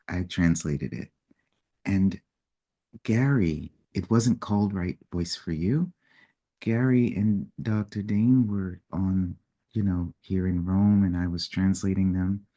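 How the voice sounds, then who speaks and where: A man speaking in an unhurried, reflective way as he tells a personal story, now and then acting out what people said. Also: low-pitched. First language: English. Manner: unhurried, reflective storytelling with lively acted-out quotes. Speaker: personal development facilitator.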